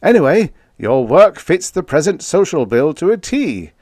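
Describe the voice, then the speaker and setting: Man playing genial old president, audiobook character, raised pitch, big swooping intonation, emphatic, dry sound. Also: sing-song, normal speed, a little mellow, dry recording. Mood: earnest, upbeat. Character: genial, hearty elder male statesman, a president.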